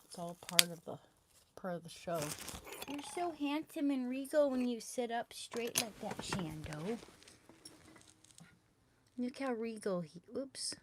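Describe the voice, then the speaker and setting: Slow-talking woman streaming casually. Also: fairly high pitch, animated, heavy background noise. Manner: rambling, chatty, talks to her dog. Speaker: livestreamer. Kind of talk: casual livestream chat.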